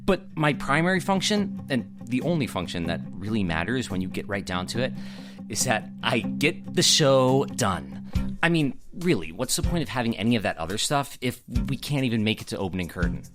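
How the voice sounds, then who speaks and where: A man playing an ambitious musical theater director, narrating an audio drama in an earnest, emphatic way. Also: fairly low pitch. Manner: earnest, emphatic, self-assured. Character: ambitious, slippery, enthusiastic young male musical theater director.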